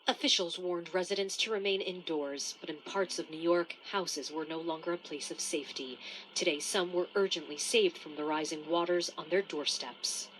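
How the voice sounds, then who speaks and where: A woman with a fairly low voice narrates a scripted news report. She speaks as a correspondent, with faint ambient noise behind her. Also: moderate pace, fairly bright tone, low-quality recording.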